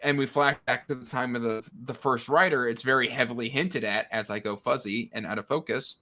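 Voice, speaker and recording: A man with a bright, forceful voice at an average pitch talks casually about books. He is an animated panelist who slips into mocking versions of characters' lines. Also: brisk. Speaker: book discussion panelist. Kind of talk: casual book chat. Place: indoors on a livestream video call.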